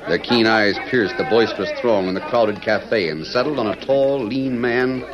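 A man's voice: on the low side, normal speed. A man narrating an old-time radio western in a grand, declamatory announcer style. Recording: dull vintage radio recording under music.

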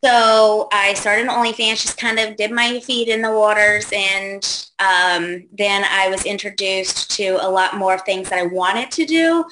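Bright, chatty woman in her thirties. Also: medium pitch, a little slow, hardly any pauses, a little hiss or hum, low-quality recording. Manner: chatty, lots of ums and likes. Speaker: guest. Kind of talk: casual interview answers.

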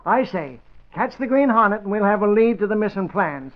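An actor playing a gruff, sarcastic, impatient city editor in an old-time radio drama. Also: male voice, high voice, animated, fairly quick. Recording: tinny old radio recording.

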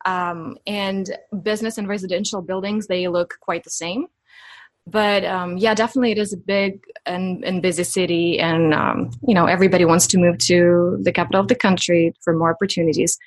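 A woman's voice: medium pitch, no room sound. A woman from Ukraine with a Ukrainian accent, chatting in a friendly way as a podcast guest. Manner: friendly, chatty, laughs easily. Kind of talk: casual interview chat.

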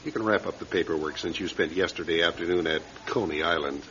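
An actor in an old radio crime drama playing an authoritative police captain with a hearty, commanding manner. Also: male voice, sits low, fast. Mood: approving, commanding. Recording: dull, noisy old radio recording.

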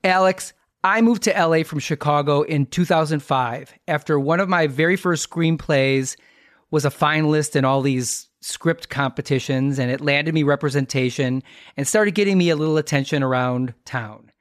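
A man's voice: a little high, moderate pace, no room sound, studio quality. A middle-aged American man hosting a podcast, telling stories conversationally in a wry, self-deprecating way. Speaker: podcast host. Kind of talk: conversational storytelling.

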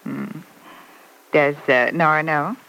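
A woman's voice: low voice, takes it slowly, a bit bright. An actress playing a wry, self-reproaching young woman in an old-time radio serial, arch and wounded. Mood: wry, probing. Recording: noisy old radio broadcast recording.

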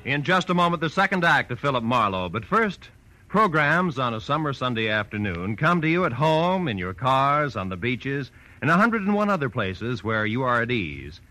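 A man's voice: medium pitch, big pitch swings, normal speed, pushed and bright, dry recording, rough recording. A man announcing for radio in a scripted 1940s style, polished, formal and emphatic. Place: radio studio.

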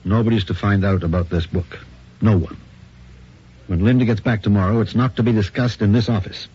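An actor playing a cynical, money-hungry book publisher in a radio drama, brusque and sardonic. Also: male voice, fairly low pitch, steady tone, fairly quick, mellow, husky. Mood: brusque, scheming. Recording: noisy, muffled old radio drama recording.

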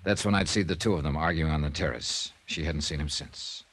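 An actor narrating as a hardboiled detective in measured 1950s radio drama style, on an old radio transcription with music. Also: male voice, a bass voice, fairly bright tone, husky, full character voice.

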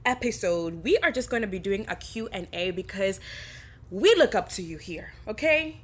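A woman's voice: medium pitch, animated, moderate pace, breathy edge. A woman hosting a podcast in a playful, effusive, sassy way, chatting casually with lots of slang. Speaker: podcast host.

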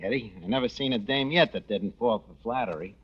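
An actor playing a brash, scheming tavern manager with a New York accent and slangy, faux-genteel fumbling speech, in 1940s radio comedy style. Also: male voice, medium pitch, brisk, a bit bright, natural voice. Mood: smug, flirtatious. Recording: noisy dull vintage radio recording.

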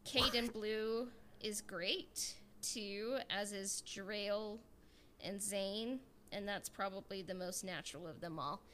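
A woman podcast host in relaxed, informal conversation, with a medium-pitched voice. She moves between reading listener comments and chatting about them. There is some noise behind her. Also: slow pace.

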